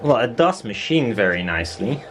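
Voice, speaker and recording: A man's voice: mid-range voice, big pitch swings, normal speed, a bit bright, a little hiss or hum. A man talking casually and bluntly as he presents his own DIY build, rambling informally. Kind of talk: casual workshop chat.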